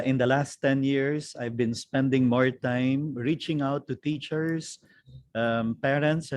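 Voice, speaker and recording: A man's voice: mid-range voice, a little mellow, no echo. Filipino man in his fifties, dry sound. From Philippines. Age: 50s. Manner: chuckles often, hesitant fillers. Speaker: guest, teacher and character educator. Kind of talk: recorded online interview, candid personal testimony.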